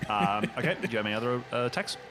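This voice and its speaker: A man narrating an improvised tabletop game as game master, piecing descriptions together haltingly. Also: medium pitch. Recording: music playing under the voice.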